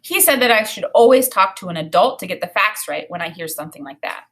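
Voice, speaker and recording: A woman reading a children's story aloud in an expressive narrating style. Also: mid-range voice, fast talker.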